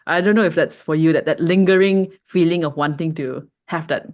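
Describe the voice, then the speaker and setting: A man's voice: fairly high pitch, brisk, muffled recording. A man from Malaysia co-hosting a family podcast, chatting casually and prompting his sibling with curious questions.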